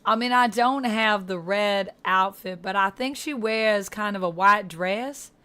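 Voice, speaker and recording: A woman's voice: medium pitch, unhurried, pushed and bright, smooth. Woman livestream host, Georgia-style Southern accent, casual and chatty, talking directly to viewers by name. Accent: Southern US, Georgia, put on.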